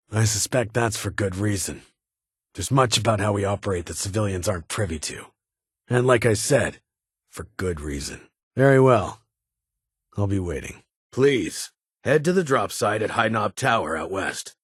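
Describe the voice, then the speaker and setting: Game casting: duty-bound army colonel, lowish and very husky, formal, guarded, clipped military speech. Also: male voice, no room sound, studio quality, exaggerated voice. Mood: grave, confiding. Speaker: video game voice actor. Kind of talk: video game dialogue acting.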